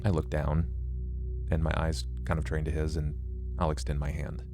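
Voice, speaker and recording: A man with a low voice takes part in a tabletop roleplaying game as a player. He describes his character's actions, with music clearly heard behind him. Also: level tone, moderate pace.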